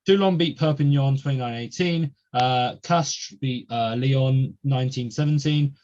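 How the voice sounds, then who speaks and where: A man's voice: medium pitch, a little slow, a little mellow. Young male host, chatty and filler-heavy; muffled lo-fi recording. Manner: chatty, many ums and uhs. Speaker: podcast host. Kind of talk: casual podcast chat.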